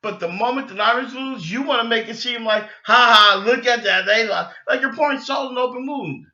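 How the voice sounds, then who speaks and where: Male sports podcast host, high-pitched and exasperated, halting unscripted delivery, roomy echo. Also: sharp tone. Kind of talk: unscripted sports fan rant. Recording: dull lo-fi sound, echoey room.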